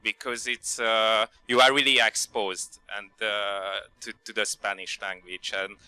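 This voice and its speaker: Very bright, clear young male student. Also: fairly low pitch, flat delivery, a little slow, no room sound. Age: young adult. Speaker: student panelist. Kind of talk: casual spoken testimonial at a Q&A.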